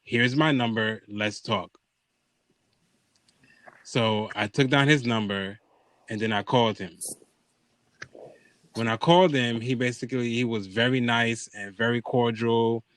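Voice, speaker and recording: A man's voice: mid-range voice, measured pace, long pauses, fairly bright tone, dry recording, poor audio. Casual male storyteller, dry close sound. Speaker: podcast host telling a personal story.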